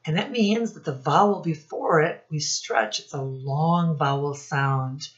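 A middle-aged woman giving a read-aloud lesson to young children, speaking deliberately and instructively as their teacher. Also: low-pitched, highs cut off. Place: small indoor room with posters.

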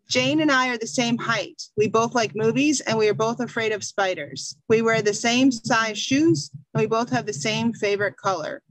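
A middle-aged American woman teaching an English class over a video call, speaking slowly and encouragingly from a small room at home. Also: on the high side, a bit bright, no room sound, poor audio. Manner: slow, encouraging, reads a passage aloud. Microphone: video call webcam.

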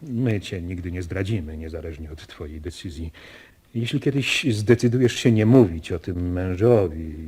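Low, husky, grave father. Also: a little mellow. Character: grave, gentle father guarding a family secret. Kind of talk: Polish radio drama acting.

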